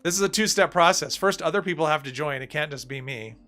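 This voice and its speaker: A man streaming a game live, chatting in a rambling, self-deprecating way with lots of fillers, his voice a little hoarse. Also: a little high, fast, bright, forceful tone, soft background music. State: slightly hoarse. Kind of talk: casual live-stream chat while gaming.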